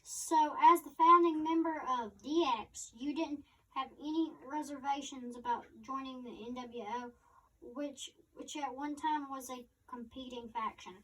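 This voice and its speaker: A woman co-host reading interview questions aloud in a halting way. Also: sits high, steady tone, fairly bright tone. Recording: distant microphone.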